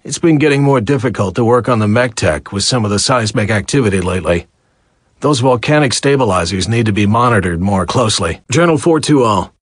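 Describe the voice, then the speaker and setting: A man's voice: lowish voice, animated, fairly quick, slightly rough, dry recording, studio quality. A man playing a matter-of-fact facility worker recording journal entries for a video game.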